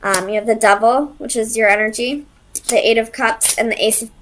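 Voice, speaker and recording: A woman's voice: a little high, steady tone, fairly quick, fairly bright tone, a little hiss or hum, rough recording. A woman giving a casual, conversational tarot reading, hesitant as she thinks aloud and searches for her words. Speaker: tarot reader.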